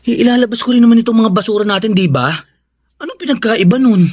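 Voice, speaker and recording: An actor with a Filipino accent playing a flippant, grudging chore-dodger in a radio drama. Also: male voice, high voice, fast, a little mellow. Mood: dismissive. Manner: dismissive, grudging.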